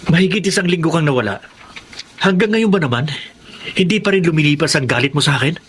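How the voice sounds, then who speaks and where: A man's voice: a little high, expressive, quick pace, a bit bright, husky. A man acting an evasive, defensive husband and father in a Tagalog radio drama. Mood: defensive, irritated.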